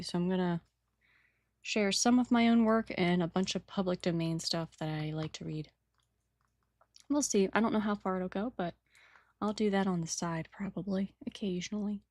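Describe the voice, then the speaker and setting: A woman with a raspy morning voice chatting casually and rambling while she paints a model at her desk, on a new microphone without filters. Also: mid-range voice, darkish tone, no room sound, clean studio sound. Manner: rambling, apologetic, trails off mid-sentence. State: early-morning raspiness. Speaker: hobby painter and channel host. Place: home desk setup.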